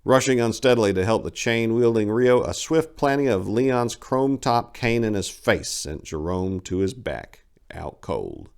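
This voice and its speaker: A man's voice: lowish voice, very animated, measured pace, creaky, clear, resonant tone. A man giving a theatrical, emphatic dramatic reading of a short story as narrator.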